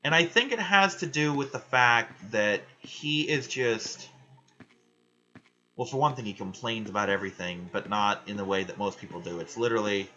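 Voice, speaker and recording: A young man ranting loudly and swearing in casual chat while he plays a video game. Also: lowish voice, expressive, moderate pace, muffled recording. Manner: loud, ranting, frequent swearing. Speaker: gaming vlogger.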